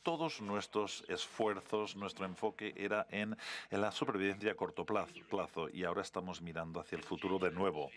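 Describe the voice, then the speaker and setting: A man interpreting a formal speech live, halting and correcting himself, with stretched words followed by quicker bursts. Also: fairly low pitch, a bit bright. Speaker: simultaneous interpreter.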